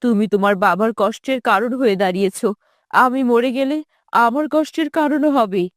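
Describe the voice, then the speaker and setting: A caring, sorrowful mother from a moral cartoon, acted by a woman. She speaks in a much lowered voice with gentle, pious admonishment, recorded dry. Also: brisk, dry recording, lightly acted. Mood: sorrowful, admonishing. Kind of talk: animated moral-drama dubbing.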